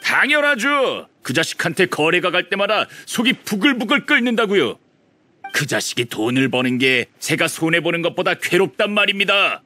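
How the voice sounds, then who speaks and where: Excitable, envious shopkeeper, wildly swooping voice. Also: male voice, sits high, moderate pace, heavily acted. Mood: indignant, envious. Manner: exaggerated, theatrical, swinging between fawning and indignant. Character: grudge-bearing male shopkeeper, excitable, scheming, envious of rival. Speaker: game character voice actor. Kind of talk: animated video game voice acting.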